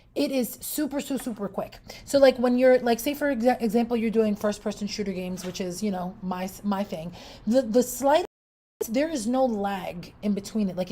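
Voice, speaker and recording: A woman's voice: mid-range voice, mellow, a little hiss or hum. A woman with a Puerto Rican accent, casually reviewing a product live, chatty and loose, with restarts and asides to the viewers. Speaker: product reviewer on a shopping livestream.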